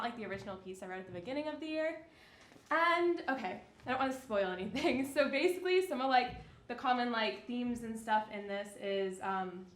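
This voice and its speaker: A young woman, a student playwright, informally introducing a play, giggly, excitable and rambling. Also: fairly high pitch. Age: young adult. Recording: echoey hall, faint noise.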